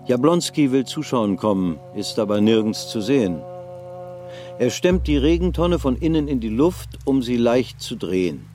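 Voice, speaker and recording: Male narrator, steady measured reading, faint music under, radio play. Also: medium pitch. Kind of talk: scripted narration.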